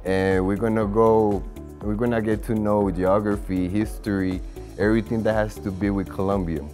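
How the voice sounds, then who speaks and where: A man's voice: lowish voice, level tone, a little slow, darkish tone, soft background music. A man giving a promotional invitation as a tour guide.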